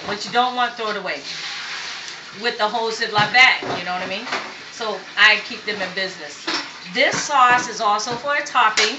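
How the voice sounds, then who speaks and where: A woman born in Vietnam who hosts a home cooking show, demonstrating step by step in a chatty way and often checking in with okay. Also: on the high side. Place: home kitchen. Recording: echoey kitchen with faint background noise.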